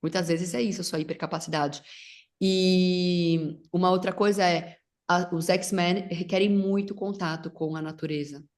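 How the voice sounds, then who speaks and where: A woman's voice: lowish voice, steady tone, moderate pace. Rambling woman with an English mother tongue. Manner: loose and rambling, with many restarts and English words mixed in. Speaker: facilitator guest. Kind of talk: casual conversation.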